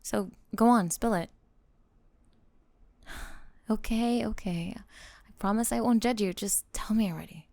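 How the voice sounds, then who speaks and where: A woman playing a doting, possessive lamia college roommate in an ASMR roleplay, speaking softly and coaxingly, close to the microphone. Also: medium pitch, no echo. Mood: soothing concern. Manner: coaxing, doting, breathy pauses with sighs and soft giggles. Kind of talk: ASMR roleplay acting, spoken to the listener. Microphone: close microphone in a dry space.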